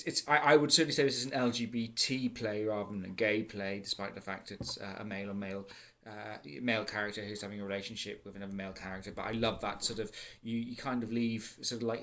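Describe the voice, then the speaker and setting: A man's voice: mid-range voice, fairly bright tone. A man with a Welsh accent giving a casual, enthusiastic spoken theatre review, rambling with many fillers and restarts.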